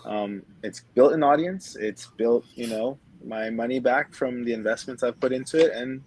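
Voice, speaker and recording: A man's voice: mid-range voice, normal speed, a little mellow, slightly rough, low-quality recording. A man in his twenties telling a personal story casually as a podcast co-host, peppering it with filler phrases. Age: 20s.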